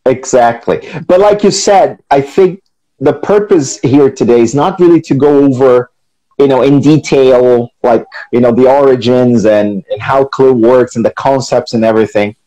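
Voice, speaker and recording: Mellow-voiced friendly male co-host. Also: mid-range voice, moderate pace, poor audio. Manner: friendly, agreeing, laughs often. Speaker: podcast co-host, teacher. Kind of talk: casual educational chat.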